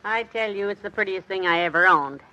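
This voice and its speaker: An actress playing a sweet, doting middle-aged housewife in a 1950s radio sitcom, cooing pet names. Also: female voice, medium pitch, fairly quick, bright, forceful tone, muffled recording, lightly acted.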